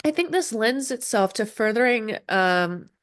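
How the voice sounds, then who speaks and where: A woman's voice: on the high side, lively intonation, unhurried. A woman hosting an astrology podcast, speaking loosely with many ums and restarts, a little sniffly and congested from a cold. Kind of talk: casual solo podcast talk. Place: indoor room with dogs. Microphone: desk setup with camera and screen share.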